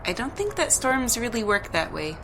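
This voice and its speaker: An actress playing a stranded traveller in an audio drama, hesitant, sceptical and a little impatient. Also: female voice, fairly high pitch, very animated, normal speed, noisy background. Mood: baffled. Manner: hesitant, doubtful.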